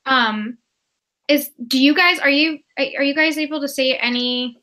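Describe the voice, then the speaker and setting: A woman's voice: high voice, steady tone, unhurried, pushed and bright. A woman co-hosting a casual book chat, speaking in an informal, rambling way. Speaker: co-host on a readalong live show.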